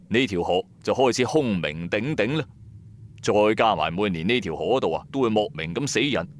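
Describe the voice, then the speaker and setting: A man with a Cantonese accent narrates a first-person ghost story in a colloquial, dramatic, suspenseful way. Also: mid-range voice. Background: music.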